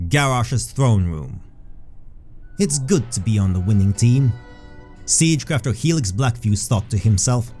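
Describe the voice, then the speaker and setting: A man narrating a scripted fantasy story as a measured storyteller. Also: medium pitch, mellow. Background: background music.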